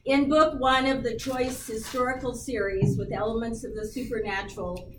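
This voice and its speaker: A woman attending a writing workshop, reading her book pitch aloud in a loud, projecting voice. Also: fairly even intonation, moderate pace. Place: meeting room. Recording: roomy distant recording with noise.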